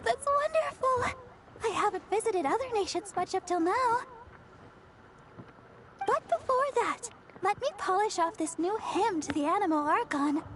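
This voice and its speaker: A woman's voice: extremely high pitch, noisy background. A woman voicing a sweet, earnest young church singer, girlish and eager, in a video game with music behind her.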